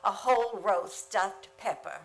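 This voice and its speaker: Casting: woman to play wry, cutting schoolteacher, medium pitch, dry delivery, old radio comedy style. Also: unhurried, faint music behind, natural voice, rough recording. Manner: dry, sardonic. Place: theater stage, live radio play.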